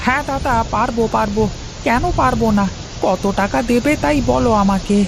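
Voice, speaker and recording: A woman voicing a poor, kind-hearted working father in an animated moral tale, speaking earnestly and colloquially. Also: a little high, moderate pace, gravelly, noisy background. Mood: eager.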